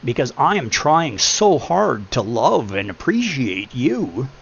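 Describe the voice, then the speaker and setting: A deep-voiced middle-aged man giving blunt, preachy advice in a casual chat, swearing freely. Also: big pitch swings, slow, creaky, breathy edge. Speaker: podcast host. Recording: muffled lo-fi with faint hum.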